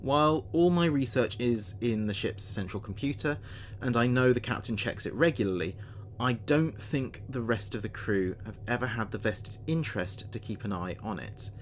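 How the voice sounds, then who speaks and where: A man playing an earnest spaceship communications officer, speaking his log in a measured, precise way for a sci-fi audio drama. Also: medium pitch, a little hiss or hum, natural voice.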